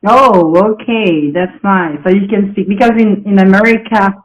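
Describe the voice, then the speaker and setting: Halting non-native interviewer asking short questions. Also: mid-range voice, highs cut off. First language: French. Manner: brief, halting questions with many "okay" and "mm-hmm". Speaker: live stream host.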